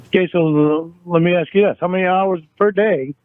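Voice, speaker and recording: A man's voice: high-pitched, dry recording. A man arguing on a phone call, repeating accusations and stumbling over his words. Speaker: caller in a recorded phone argument. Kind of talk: heated phone argument. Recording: tinny dry phone line.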